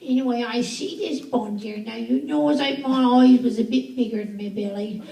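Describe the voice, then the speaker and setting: Woman doing a giggly church lady, older, high and lively, in an echoey live room. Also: moderate pace, vocal fry. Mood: chatty. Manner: chatty, confiding, giggly, folksy dialect. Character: gossipy older churchgoing woman confessing to God. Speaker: comedian. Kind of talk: stand-up character monologue. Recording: echoey live stage from far off. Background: audience laughter and noise.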